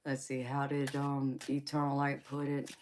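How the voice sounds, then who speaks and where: A woman's voice: rumbling low, completely flat delivery, normal speed, a little hiss or hum. A woman in her forties with a Southern accent and twang, chatting casually with viewers on a tarot livestream, calling them baby and honey. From Georgia, US. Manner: affectionate, calls viewers baby and honey, laughs often. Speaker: tarot reader livestream host. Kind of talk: casual live chat reading comments.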